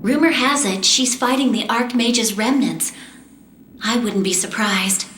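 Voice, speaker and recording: A woman voicing a determined, composed young female magician in a fantasy game, earnest and measured. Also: a little high, fairly bright tone, slight background noise. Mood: wistful, reflective. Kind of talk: English-dubbed fantasy game cutscene acting and narration. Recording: roomy echo, game music behind.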